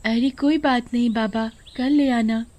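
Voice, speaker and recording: A tender, devoted little girl of ten in a moral story drama, put on by a woman. Her voice is pitched very high and has a pleading, earnest tone. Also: steady tone, a little slow, darkish tone, lightly acted. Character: ten-year-old girl, tender, devoted, tearful daughter.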